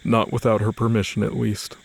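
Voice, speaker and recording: A man's voice: lowish voice, flat delivery, fairly quick, vocal fry, breathy edge. A man narrating scripted fiction as the storyteller of an audio drama. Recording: faint music under the voice. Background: music.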